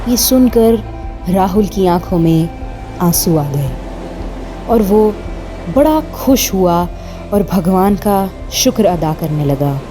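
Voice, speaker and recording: Slow female storyteller over music. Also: lowish voice, lively intonation. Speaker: narrator. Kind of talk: dramatic scripted story narration.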